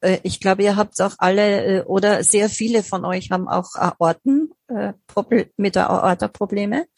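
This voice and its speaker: A woman's voice: medium pitch, normal speed, a little mellow, husky, no room sound. A woman hosting an interview, asking hesitant questions with many fillers and frequent backchannels.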